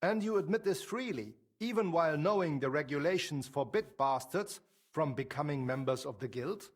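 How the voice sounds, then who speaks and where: An actor playing a courteous, probing guild elder in a video game, with a German accent and a formal, measured manner. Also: male voice, a little high, expressive. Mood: grave, cautioning. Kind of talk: video game dialogue acting.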